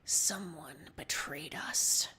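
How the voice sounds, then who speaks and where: A woman's voice: deep voice, extremely slow, husky, whispered, voice pushed down, full character voice, poor audio. Woman acting an urgent pirate captain. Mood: shaken, hushed. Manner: clipped orders, hushed gasps when alarmed. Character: commanding pirate ship captain, urgent and shaken by betrayal.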